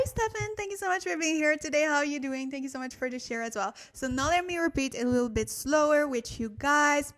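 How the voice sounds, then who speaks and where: High voice lady teaching on a livestream, french korean mixed accent, chatty n giggly with viewers. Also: fairly bright tone. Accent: mixed French and Korean-tinged English. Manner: giggly, friendly, thanking and answering viewers. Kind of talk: casual interactive lesson chat with viewers.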